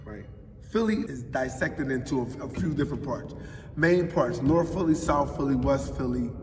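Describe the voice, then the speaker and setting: Man, forties, Philadelphia accent, emphatic and streetwise, distant mic, long hall reverb. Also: on the high side. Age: 40s. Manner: street-talking, emphatic, often adds "you know what I mean". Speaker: interviewee. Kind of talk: casual interview answers. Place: large echoey public building. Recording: roomy hall echo, distant mic, faint ambience.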